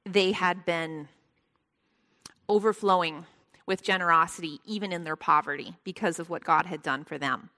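A soft-spoken woman leading a Bible study lesson, with a prayer and a scripture reading, speaking gently and with frequent ums. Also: lowish voice. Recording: long hall reverb.

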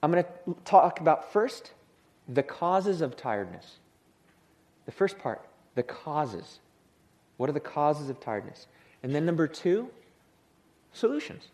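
A man preaching a sermon in a church with a soothing voice. He talks in a humorous way and acts out the people he describes. His pitch sits a little high and rises and falls a great deal. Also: sing-song, unhurried, pauses often, a little mellow. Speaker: pastor.

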